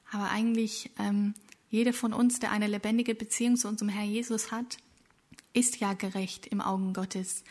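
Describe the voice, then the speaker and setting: A woman moderating a church event, leading into prayer rounds and interviews with a friendly, filler-heavy way of announcing. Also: medium pitch.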